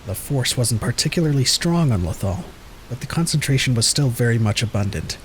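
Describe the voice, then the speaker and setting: A man's voice: medium pitch, expressive, a little fast, slight background noise, low-quality recording. A man reading scripted dramatic narration as the narrator of an audio story.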